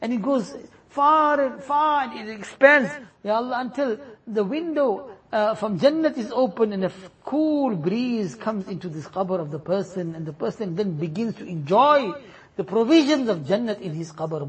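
A man leading a congregation in an impassioned supplication prayer, pleading and closing each plea with a soft trailing refrain. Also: high-pitched, animated, normal speed, breathy edge, poor audio.

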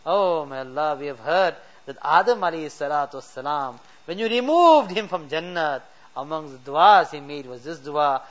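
A man's voice: on the high side, animated, moderate pace. A man leading a fervent late-night supplication as an imam, pleading with constant repeated refrains. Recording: dull lo-fi recording.

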